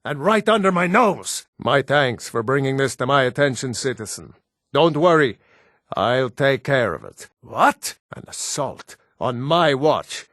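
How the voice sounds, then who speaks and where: A man's voice: medium pitch, fairly bright tone, dry recording. Stern Nord guardsman, very dry sound. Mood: indignant. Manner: blunt, commanding, indignant. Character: stern, gruff Nord city guardsman, quick to threaten. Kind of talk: video game character dialogue.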